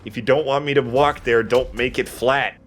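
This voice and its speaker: A man's voice: medium pitch, steady tone, moderate pace, a bit bright. A man giving casual, jokey commentary while he plays a video game, swearing now and then. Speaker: let's play host playing the game. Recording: game music behind the voice. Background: video game music.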